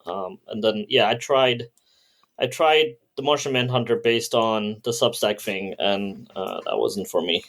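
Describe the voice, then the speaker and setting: A man's voice: fairly low pitch, a little slow, fairly bright tone. A man chatting casually and a little tentatively as a panelist on a comic book podcast.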